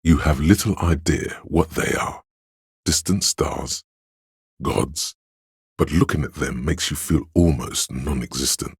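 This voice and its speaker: A man voicing an erudite inner voice full of trivia in a video game, deep, gravelly and husky, narrating deliberately with dry pedantry. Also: no room sound, heavily acted. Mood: measured, knowing. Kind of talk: measured game narration addressing the listener.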